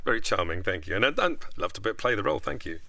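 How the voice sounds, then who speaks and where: A man's voice: lowish voice, big pitch swings, fast talker, gravelly, pushed and bright, no room sound. A man with a British accent, a podcast guest giving scripted explanations in a narrating style.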